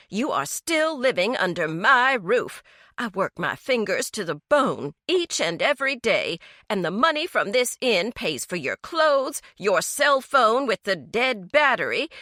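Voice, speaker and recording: A woman voicing a frantic, scolding middle-aged mother, high and shrill. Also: big pitch swings, measured pace, sharp tone, dry recording, studio quality, full character voice.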